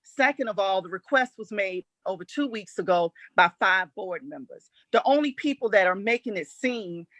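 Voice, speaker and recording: A woman's voice: medium pitch, animated, moderate pace, hardly any pauses, a bit bright, no room sound. A woman debating as a board member in a formal meeting, blunt, insistent and challenging.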